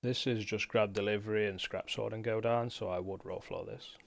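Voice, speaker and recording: A man's voice: fairly low pitch, steady tone, normal speed, vocal fry, no echo, clean studio sound. A man giving casual, matter-of-fact commentary for a video game guide in an informal way. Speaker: gamer presenting a guide.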